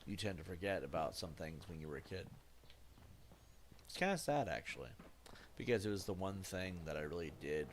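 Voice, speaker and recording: A man's voice: low voice, fairly quick, long pauses. A man in his thirties from the United States, chatting casually while streaming a game, rambling with lots of fillers and self-corrections. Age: 30s. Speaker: gaming streamer. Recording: steady background noise.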